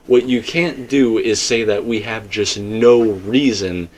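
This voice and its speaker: Male co-host, lowish register, casual rambling discussion, slightly noisy recording. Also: slow. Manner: rambling, hedging, conversational. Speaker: co-host of a video game theory discussion.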